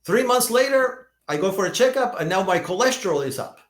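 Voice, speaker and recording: A man in his fifties, a doctor being interviewed, telling stories in a lively way, often restarting and repeating words. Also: high voice, brisk, reverberant. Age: 50s. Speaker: functional medicine doctor, guest. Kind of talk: conversational podcast interview.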